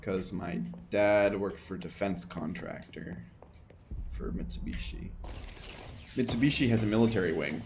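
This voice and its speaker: A man's voice: fairly low pitch, moderate pace, slight background noise. A man playing a video game for the first time, chatting with viewers and muttering what he reads on screen. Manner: chatty, thinks aloud, mutters menu text. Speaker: gaming streamer. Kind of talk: casual let's play commentary.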